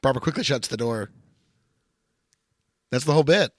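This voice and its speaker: A man's voice: medium pitch, lively intonation, normal speed, fairly bright tone, no room sound, clean studio sound. A man hosting a podcast, casually reading a screenplay aloud with wry, profane asides. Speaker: podcast host.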